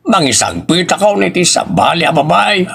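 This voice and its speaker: A man's voice: fairly high pitch, very animated, fairly quick, gravelly, sharp tone, breathy edge, full character voice. A man playing an opinionated older father who goads his married son in a family audio drama. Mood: goading. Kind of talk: Ilocano family radio-style drama acting.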